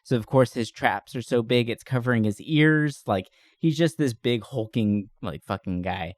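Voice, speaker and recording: A man's voice: mid-range voice, moderate pace, no room sound. A man co-hosting a podcast in an enthusiastic, informal chat, swearing casually. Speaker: podcast co-host. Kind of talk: casual chat about movies.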